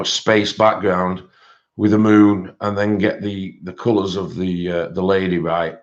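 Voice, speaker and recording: A man with a British accent and a croaky voice, hosting a casual livestream and chatting with viewers, rambling with many fillers. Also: fairly low pitch. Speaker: livestream host. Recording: dull lo-fi stream audio.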